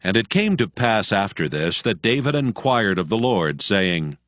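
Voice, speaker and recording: A man narrating scripture from a script in a solemn, measured storytelling manner. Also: fairly low pitch, big pitch swings, fairly bright tone, dry recording, clean studio sound. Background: music.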